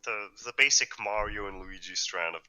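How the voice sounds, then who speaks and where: Teen boy, Slovene accent, very deep voice, sharp bright tone, rambling casual chat. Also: moderate pace. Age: teens. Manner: rambling, jokey, says "like" and "dude" a lot. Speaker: podcast co-host.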